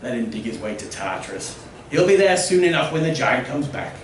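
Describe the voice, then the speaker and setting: A man's voice: medium pitch, big pitch swings, a little fast, lightly acted. A man voicing scornful, suspicious villagers in a storybook tale, declamatory and emphatic. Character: scornful, suspicious villagers gossiping about an outcast. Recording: roomy, distant miking with faint noise.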